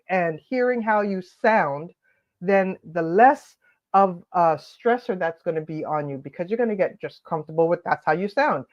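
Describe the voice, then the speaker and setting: A woman's voice: medium pitch, dull audio. A woman hosting a live stream, giving upbeat coaching tips and greeting viewers by name, her voice nasal and stuffed up from allergies. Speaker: host of a daily live stream on confidence.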